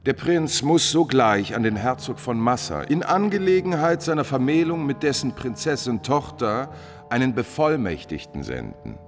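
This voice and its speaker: Smug courtier, swooping and theatrical. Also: male voice, medium pitch, big pitch swings, normal speed, in a large hall, soft background music. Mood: smooth self-importance. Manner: pompous, oily formality, theatrical exclamations. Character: smug, scheming courtier, haughty emissary of a prince. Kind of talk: mid-century German classical radio play acting.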